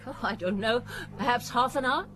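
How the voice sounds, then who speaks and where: An actress playing an imperious, protective bourgeois wife in an audio drama, haughty and indignant. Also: female voice, a little high, normal speed, fairly bright tone, a little hiss or hum, natural voice. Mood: wary.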